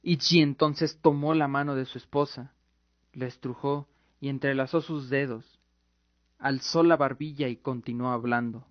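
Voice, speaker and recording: A man's voice: medium pitch, steady tone, normal speed, no room sound. A man giving dramatic scripted narration as the narrator of an audio drama. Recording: dull lo-fi recording with music. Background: music.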